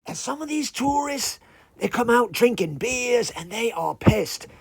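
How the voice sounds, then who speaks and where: A man's voice: very high-pitched, animated, unhurried, gravelly, slightly rough, pitched above the performer's own voice, heavily acted. A man voicing a chatty, cheeky iguana prime minister with a British accent and slangy, rambling, folksy talk in a comic story. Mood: cheerful, hospitable.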